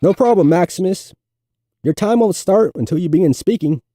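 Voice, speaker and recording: A man's voice: high-pitched, lively intonation, moderate pace, dark tone, slight background noise, pitched above the performer's own voice. A man playing an encouraging male fourth-grade teacher who addresses the class supportively in a story. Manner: supportive, praising, formal classroom speech.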